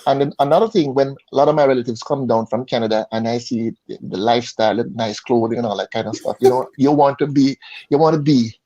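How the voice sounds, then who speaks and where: A Jamaican man speaks as a guest in a casual interview. His voice is mellow and relaxed, and he stops and repeats words while finding his point. The audio is slightly muffled and lo-fi, as from an online call. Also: medium pitch. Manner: halting, repeats words as he searches for them. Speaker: interview guest, insurance advisor. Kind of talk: casual conversational interview answers.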